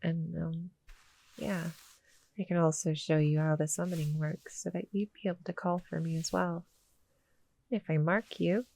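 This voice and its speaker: Woman doing a cozy lil monster girl, asmr roleplay, kinda low voice w some creak, slow n gentle, super soft. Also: full character voice, rough recording. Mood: hesitant, explaining. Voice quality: soft, breathy, often slipping into whispers. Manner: gentle, hesitant, soothing. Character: small gentle female cuddle monster, sweet and cozy. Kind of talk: intimate ASMR audio roleplay. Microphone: close, dry studio-style mic.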